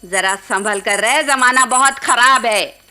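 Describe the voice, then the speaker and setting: An actress playing a bossy, scolding middle-aged aunt in a radio play, with a nagging, commanding manner. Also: female voice, on the high side, slow, sharp tone, heavily acted. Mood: bossy, stern. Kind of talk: Hindi radio play acting.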